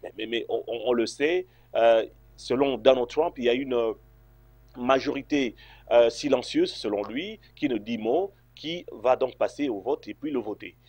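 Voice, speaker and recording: A man's voice: mid-range voice, a little slow, a little mellow, slightly rough, no echo. A man from Congo answering interview questions, hesitant, with many fillers and restarts. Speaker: interview guest.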